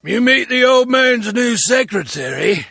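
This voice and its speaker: A man playing a crude, cocky henchman guard in a spy video game, with a raspy voice. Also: very high voice, big pitch swings, a little slow, pushed and bright, no room sound, studio quality, heavily acted. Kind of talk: video game dialogue acting.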